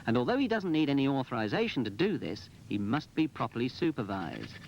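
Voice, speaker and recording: A man's voice: mid-range voice, very animated, fairly quick, darkish tone. A male narrator delivers scripted instruction with firm, orderly emphasis. The sound is a dull 1980s film soundtrack with some background noise. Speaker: narrator and presenter.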